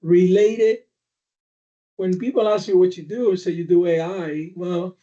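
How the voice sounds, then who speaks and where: An older man speaks in a fairly high but mellow voice, pausing often on fillers. He sounds distant from the mic, and the audio comes through dull and lo-fi. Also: steady tone. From Cuba. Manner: halting, many fillers. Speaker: podcast guest. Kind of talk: conversational podcast discussion.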